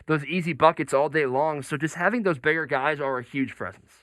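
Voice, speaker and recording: A man's voice: on the high side, a little fast, fairly bright tone, no room sound. A man hosting a sports talk show, debating basketball in an insistent, argumentative way.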